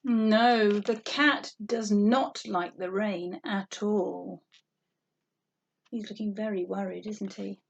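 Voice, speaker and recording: A woman reading a picture book aloud to young children, animated and sing-song, drawing out words and asking questions. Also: mid-range voice, takes it slowly, vocal fry, highs cut off, a little hiss or hum. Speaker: storytime reader for young children.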